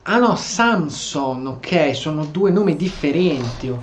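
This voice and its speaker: An Italian man with a fairly high, animated voice, commenting casually as he plays a game. He stammers and repeats words while role-playing along. His voice sits in an echoey room over clear game music. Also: a little slow, a little hiss or hum. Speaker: gamer, let's play host. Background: video game music.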